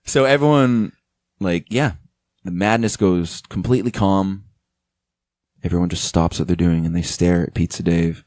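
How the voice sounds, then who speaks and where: A man's voice: deep voice, moderate pace, a little mellow, dry recording. Joking man on a comedy podcast. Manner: loose, joking, chuckles a lot. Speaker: podcast co-host. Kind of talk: improvised comedy podcast chat.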